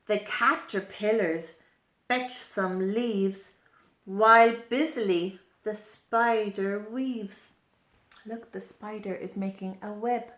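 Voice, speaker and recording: A woman reading a children's story aloud in an expressive, deliberate, emphatic way, adding simple explanatory asides. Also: mid-range voice. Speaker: storyteller at a special school. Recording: roomy, echoing indoor sound.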